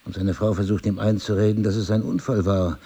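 A brooding husband in an intimate audio drama. His voice is low, dark and husky. He speaks articulately, with a probing, sardonic edge, as a suspicious writer who has lost his memory. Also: little pitch movement, a little fast. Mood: suspicious, agitated. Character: brooding amnesiac husband, a sharp-witted, suspicious crime writer.

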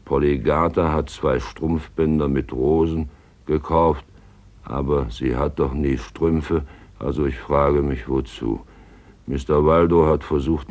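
A man's voice: very deep voice, vocal fry, darkish tone, heavily acted. An actor playing a lovelorn, grumbling small-town draper in a radio play, speaking his love letter in an intimate, dry, wistful way.

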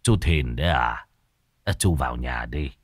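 A man voicing an old village widower who speaks sadly and haltingly, ashamed of his children. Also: low voice, sing-song, no room sound, studio quality, exaggerated voice. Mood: mournful. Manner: mournful, halting, stammering.

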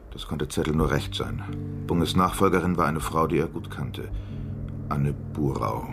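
An actor in a radio drama playing a male reporter who reads out a sober, measured, declamatory exposé. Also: rumbling low. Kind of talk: scripted narration in a radio drama.

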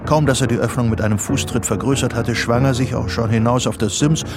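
An actor narrating a psychological thriller radio play as a sober storyteller. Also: male voice, mid-range voice, fairly even intonation, fairly quick, loud music behind the voice, lightly acted. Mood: sober, suspenseful. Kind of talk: scripted literary narration.

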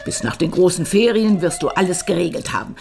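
An actress playing an older, commanding and protective matriarch in a German radio crime drama, brisk, emphatic, with a creaky voice. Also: female voice, lowish voice, animated, a little mellow, soft background music.

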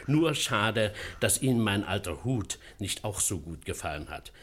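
Older gentleman, low husky voice, lively courteous phrasing, 1950s radio play. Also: a little slow. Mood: polite, affable. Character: impoverished gentleman who lost his goose.

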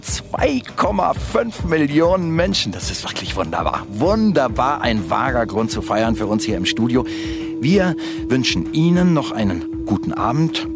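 An actor playing an unctuous, self-satisfied radio host in a satirical radio play, with theatrical announcer patter. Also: male voice, medium pitch.

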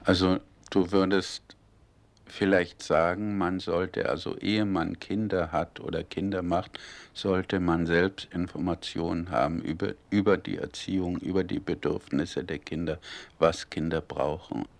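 A man's voice: deep voice, steady tone, a little slow, gravelly. A male interviewer asking a question hesitantly and rephrasing as he goes.

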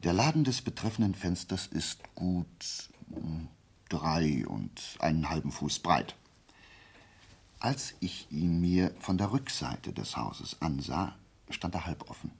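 An actor playing a shrewd, methodical detective in a radio drama, speaking in a deliberate, didactic way with thoughtful pauses. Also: male voice, low-pitched, very animated, vocal fry, slightly rough, exaggerated voice. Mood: explanatory, deliberate. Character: shrewd, methodical male amateur detective explaining a crime. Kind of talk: radio drama dialogue, deductive monologue.

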